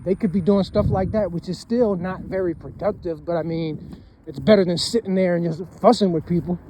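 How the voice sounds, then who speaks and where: A man's voice: high-pitched, a little fast, background noise. A man of thirty, giving a casual, rambling motivational talk and often tagging lines with "man" and "you know what I'm saying". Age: 30s. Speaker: vlogger.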